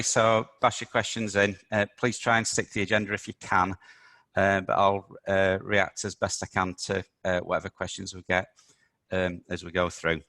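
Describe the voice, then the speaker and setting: A man hosting a business webinar, a little croaky from talking all week, explaining with many ums and uhs. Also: on the low side, bright, forceful tone, no echo. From United Kingdom. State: slightly croaky voice. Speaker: webinar host, accountant.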